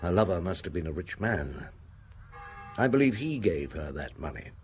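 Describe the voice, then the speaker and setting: Deep-voiced, shrewd police inspector. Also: male voice, big pitch swings, a little mellow, faint music behind. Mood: probing. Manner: probing, measured questioning. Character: shrewd, unhurried male chief police inspector. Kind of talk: radio drama acting.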